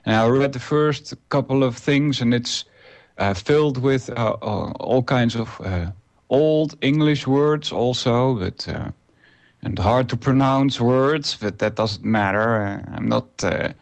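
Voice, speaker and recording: A man's voice: mid-range voice, slow pace, gravelly. A man in his forties, a native Dutch speaker, hosting a solo internet radio show and talking it through unscripted, with many filler uhs and restarts. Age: 40s.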